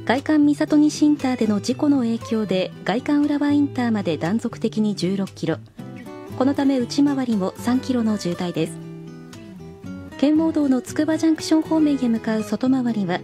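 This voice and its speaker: A woman's voice: high-pitched, lively intonation, moderate pace, music in the background. A woman reporter delivering a scripted traffic bulletin on radio.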